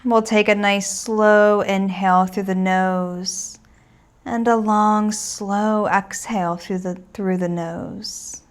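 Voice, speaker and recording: A woman teaching a guided meditation in a gentle, soothing and reassuring manner. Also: medium pitch, steady tone, takes it slowly, clean tone.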